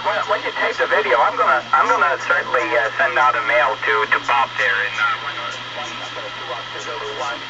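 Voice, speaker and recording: A man chatting casually over two-way radio as an amateur operator, halting and often repeating his phrases. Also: medium pitch, lively intonation. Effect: two-way radio transmission. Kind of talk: casual radio contact chat. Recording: muffled radio signal, very loud static. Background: loud radio noise.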